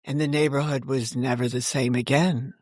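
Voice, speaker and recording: A woman's voice: very deep voice, steady tone, normal speed, darkish tone, no room sound, studio quality. An older woman talking as an interview guest, telling stories conversationally and correcting herself as she explains. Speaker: guest, author and editor.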